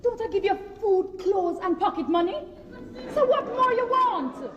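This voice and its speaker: A woman's voice: very high voice, sing-song, measured pace, muffled recording, some chatter behind, full character voice. An actress playing a haughty, commanding Jamaican wife who scolds and belittles her husband in a comic stage play. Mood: indignant. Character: bossy, snobbish high-earning wife belittling her husband. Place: on a theatre stage before an audience. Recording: echoey theatre hall, distant, noisy audience.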